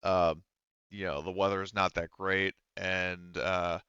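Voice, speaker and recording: A man running a tabletop roleplaying game as game master, talking casually and pausing often mid-sentence, on slightly dull audio. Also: deep voice, little pitch movement, pushed and bright, clean tone, no echo.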